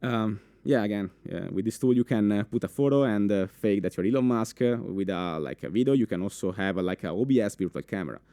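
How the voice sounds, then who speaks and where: Young guy w italian accent doing a conference talk, warm mellow tone, dry close mic, keeps asking the crowd stuff. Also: lowish voice, quick pace, few pauses, clear, resonant tone, no room sound. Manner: animated, many fillers and restarts, asks the audience questions. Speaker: conference speaker. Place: conference room with a full audience.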